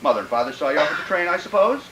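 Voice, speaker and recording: Radio thriller villain: polite, deranged, repetitive employer with very animated pitch, heard in a reverberant room. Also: male voice, racing, muffled recording, background noise. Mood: oily, wary. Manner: fussy, repetitive, muttering to himself. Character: sinister, gleefully deranged male killer posing as fussy polite employer. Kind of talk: old-time radio thriller acting.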